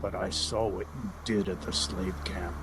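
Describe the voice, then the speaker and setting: An actor playing a proud, cruel slave trader in an audio drama, vengeful and deliberate, with theatrical threats. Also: male voice, deep voice, fairly even intonation, noisy background, lightly acted. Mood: accusing, angry. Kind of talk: audio drama villain acting.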